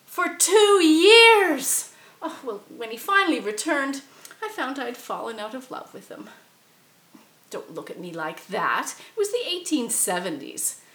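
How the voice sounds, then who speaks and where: A woman playing the ghost of a murdered 1870s wife, telling her story theatrically, swinging from shrieks to hushed confiding. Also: high-pitched, very animated, a little slow, reverberant, full character voice. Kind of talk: costumed ghost monologue.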